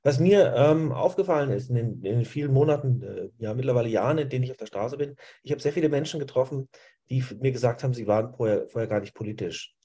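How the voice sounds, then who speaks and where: Middle aged man on a webcam call, husky mellow voice, earnest, lots of ahs. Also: medium pitch, fast. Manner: earnest, with frequent fillers. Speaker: activist guest. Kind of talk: video call discussion. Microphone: laptop or webcam mic on a video call.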